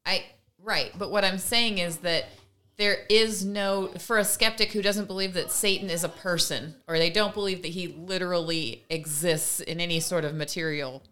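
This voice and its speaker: Woman podcast cohost, lowish pitch, bright forceful voice, explaining stuff earnestly, chatty. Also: steady tone, normal speed. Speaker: podcast co-host. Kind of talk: casual conversational discussion.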